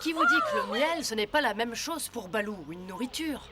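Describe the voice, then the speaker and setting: A woman's voice: a little high, expressive, moderate pace, very noisy, close to an everyday voice. A woman voicing a young male lion cub in a cartoon, earnest, fair-minded and reasoning. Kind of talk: French cartoon dubbing. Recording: music behind the voice.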